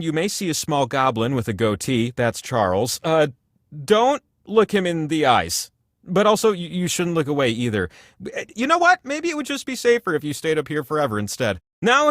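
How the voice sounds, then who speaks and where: A man's voice: high voice, fairly bright tone, no echo, studio quality. A man voicing a giant, ancient talking wheel in a video game, a jovial, grandiose and needy godlike host with a chatty, theatrical delivery. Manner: chatty, theatrical, swings between gushing and mumbled asides. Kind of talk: comic video game character monologue.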